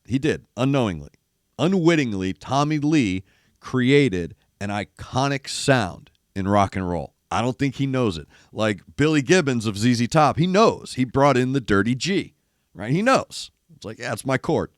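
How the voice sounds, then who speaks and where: An older man, a former rock radio DJ, hosts a music reaction show. He is losing his voice and sounds gravelly, speaks emphatically, and mimics drum hits while talking. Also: mid-range voice, expressive, moderate pace, no echo, clean studio sound. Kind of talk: casual music commentary. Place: studio in east Nashville.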